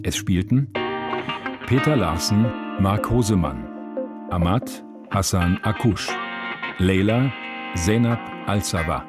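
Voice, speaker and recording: A man reading scripted radio play credits in a measured announcer's style. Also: low voice, expressive. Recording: guitar music behind the announcement.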